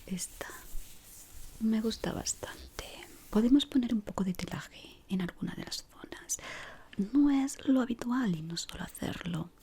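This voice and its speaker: Whispering Spanish woman, soft ASMR roleplay. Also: a little high, animated, creaky. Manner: soft, unhurried, gently instructing. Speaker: ASMR performer playing a decorator guiding a newcomer.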